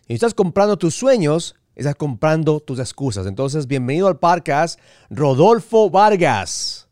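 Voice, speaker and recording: Podcast host, male, voice a bit high, code-switches into English, very dry recording. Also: sing-song, moderate pace, a little mellow, dry recording. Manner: mixes English words into Spanish. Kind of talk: podcast interview.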